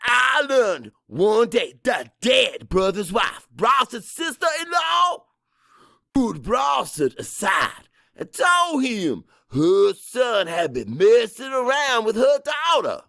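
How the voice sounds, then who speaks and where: Audiobook role: combative old Cajun storyteller, pitched high, swooping, folksy and fiery. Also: male voice, sing-song, slow, gravelly, no room sound, clean studio sound, full character voice. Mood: insistent storytelling. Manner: loud, emphatic, folksy, mixes French into English.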